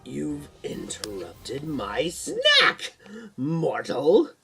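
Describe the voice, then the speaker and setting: A man voicing a hungry, menacing snake-like demon in a story, with slow, taunting delivery over music. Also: a little high, wildly swooping pitch, creaky, voice pushed up, exaggerated voice. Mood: menacing hunger.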